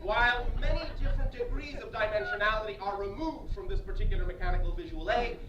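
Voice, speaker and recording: A man channeling an alien entity who lectures on metaphysics with emphatic, staccato stresses and deliberate repetition. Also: high voice, lo-fi sound, natural voice. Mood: measured, instructive. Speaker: channeler addressing a live group. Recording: echoey far-off 1980s cassette, faint hiss.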